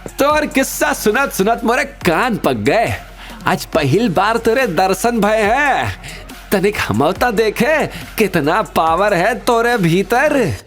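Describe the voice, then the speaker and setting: A man's voice: high voice, big pitch swings, takes it slowly, a bit bright, faint music behind, pitched above the performer's own voice, full character voice. A man voicing a crude, lecherous gangster henchman in an audio drama, taunting in rustic speech with a Bihari accent. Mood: mocking.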